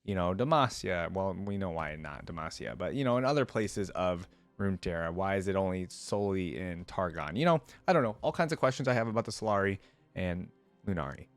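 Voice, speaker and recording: A man's voice: low-pitched, lively intonation, a little fast, no echo. A man hosting a reaction video, talking casually and unscripted to viewers in a rambling, musing way that often poses questions. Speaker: reaction channel host.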